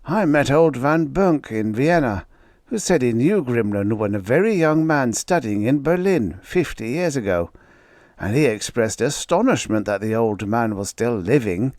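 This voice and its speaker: A man voicing a grave, hesitant gentleman who recounts strange events earnestly. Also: medium pitch, lively intonation, measured pace, no echo, clean studio sound, lightly acted. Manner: earnest, reluctant storytelling.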